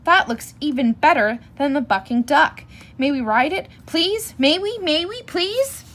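A woman voicing young storybook bear cubs, whining, shouting and pleading greedily. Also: high-pitched, lively intonation, measured pace, pitched above the performer's own voice. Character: whiny young bear cubs, brother and sister, begging greedily.

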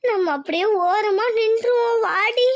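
A woman voicing a nervous, gossipy village woman in a cartoon family drama, chatty and excitable. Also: very high-pitched, unhurried, gravelly, exaggerated voice. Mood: nervous, alarmed.

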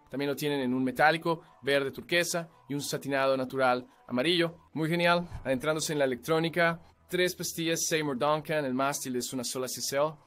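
Male host, from Canada, slightly higher voice, casual gear review with light music bed. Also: a bit bright. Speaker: guitar reviewer. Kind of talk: product review talk.

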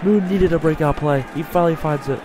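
A man with a stuffy voice from a cold, commentating his own video game play and getting excited on big plays. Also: on the high side, fairly quick, a crowd in the background, background noise. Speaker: gaming channel host.

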